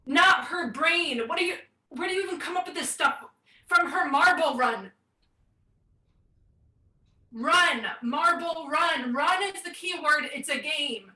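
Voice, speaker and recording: Heard from off mic in an echoey room, a woman acts out an uptight, exasperated grown daughter in a stage play. The voice is very high, with clipped, protesting delivery. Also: bright, forceful tone, recorded from a distance, exaggerated voice. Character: exasperated, uptight adult daughter and busy mother.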